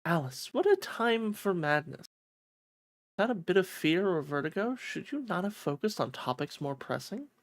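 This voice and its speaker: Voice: medium pitch, very animated, moderate pace, dry recording, studio quality. A streamer voicing a sassy, sly cat who taunts theatrically in a fantasy visual novel. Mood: teasing.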